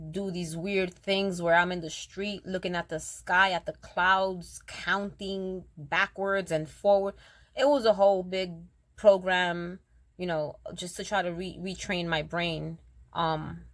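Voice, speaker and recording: A woman with a naturally raspy voice, a little rougher than usual, speaking earnestly to her listeners and reciting her own spoken word poem. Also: lowish voice, no room sound. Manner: earnest, direct, addresses listeners as y'all. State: raspier than usual, throat slightly rough.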